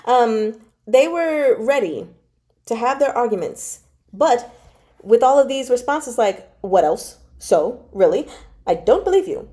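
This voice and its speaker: Mellow-voiced woman in casual, animated chat. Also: on the high side, unhurried. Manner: animated, giggly, digressive, slangy. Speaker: book club vlogger. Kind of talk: casual chat retelling a novel.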